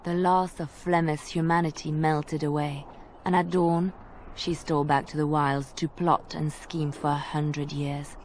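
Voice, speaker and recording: Low-voiced storyteller of dark legends. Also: female voice, little pitch movement, measured pace, slight background noise. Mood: grave storytelling. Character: woman storyteller telling a dark old legend. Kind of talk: scripted dramatic narration of a dark legend. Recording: faint music under the voice.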